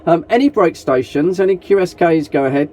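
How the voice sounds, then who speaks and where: A man's voice: on the high side, expressive, fairly quick, dark tone. A man chatting casually over CB radio as a group net operator, matey and polite, using call signs and radio jargon. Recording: noisy CB radio transmission with hiss.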